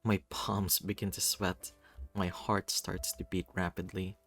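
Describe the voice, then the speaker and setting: A man reading a first-person visual novel aloud in an expressive, theatrical way. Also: deep voice, unhurried. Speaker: reader voicing a visual novel. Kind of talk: dramatic first-person story narration. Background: music.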